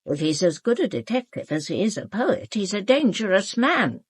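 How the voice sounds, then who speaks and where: A woman playing an imperious, sharp-witted elderly aristocratic lady in a British radio drama, with an upper-class English accent and a formal, cutting, controlled delivery. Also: medium pitch, sing-song, moderate pace, husky, no room sound. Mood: measured, warning. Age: older.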